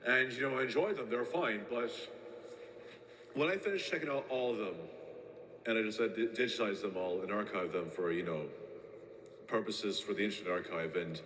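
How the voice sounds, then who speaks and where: Guy playing a nervous collector rambling, low voice, big echoey reverb, lil hiss. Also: a bit bright, close to an everyday voice. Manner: rambling, halting, with many restarts. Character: uneasy male tape collector writing a warning.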